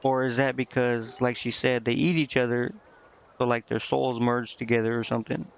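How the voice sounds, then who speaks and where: A man's voice: medium pitch, little pitch movement, normal speed, vocal fry, slight background noise. A man with a mid-range voice talks casually through a video game playthrough. He rambles as he thinks aloud and stumbles when reading text out. The recording is a bit dull and lo-fi. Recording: dull, lo-fi microphone sound.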